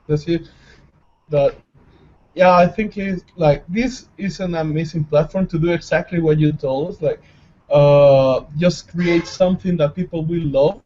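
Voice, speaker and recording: Halting male interviewer. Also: on the high side, fairly even intonation, dark tone. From El Salvador. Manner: halting, searching for words. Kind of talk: interview questions. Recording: slightly dull lo-fi recording.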